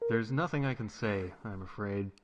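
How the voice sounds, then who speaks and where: A man's voice: on the low side, moderate pace, background noise, lightly acted. A male actor playing a solemn, matter-of-fact doctor in a video game. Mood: grave. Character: grave male doctor delivering bad news. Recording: muffled game audio with music.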